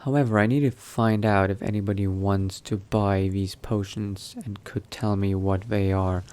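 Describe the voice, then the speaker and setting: A man narrating his role-play gameplay in character, musing to himself in an unhurried, gravelly voice. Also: lowish voice, fairly even intonation, a little mellow. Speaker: gaming video host, role-playing player.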